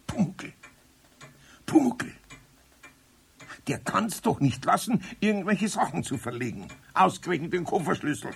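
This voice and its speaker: Gravelly bavarian old carpenter, lively voice, mild and muttering, radio play. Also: male voice, high-pitched, unhurried, pauses often, a little hiss or hum, heavily acted. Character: kindly older male master carpenter, patient. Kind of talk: 1970s radio play acting.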